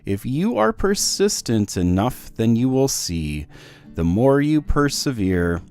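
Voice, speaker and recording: A man's voice: mid-range voice, slow pace, clean tone, soft background music. A man voicing a patient, encouraging father who reassures and teaches his young son in a children's storybook. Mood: instructive. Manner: reassuring, instructive, animated.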